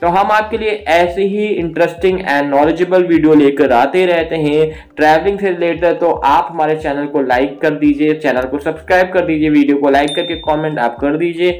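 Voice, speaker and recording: A man narrating a travel guide video as its host, with a steady, inviting tour-guide delivery. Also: a little high, normal speed, few pauses, low-quality recording. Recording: faint background music.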